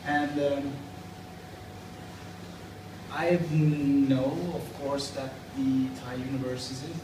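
A man's voice: mid-range voice, little pitch movement, slow pace. A man in his thirties from Sweden, a native Swedish speaker, answering interview questions in a thoughtful, hesitant way, sitting in a school corridor. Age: 30s. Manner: thoughtful, hesitant with fillers and restarts. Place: school corridor with plastic chairs. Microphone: far camera. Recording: echoey hallway from far off, noisy.

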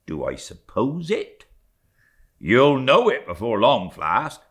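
Casting: swaggering male ship's mate for a sea novel, bright forceful voice, wide swoops, boastful bluster performed by a male narrator. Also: mid-range voice, sing-song, takes it slowly, pitched above the performer's own voice. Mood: boastful, relishing. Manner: blustering, emphatic, rambling tall talk.

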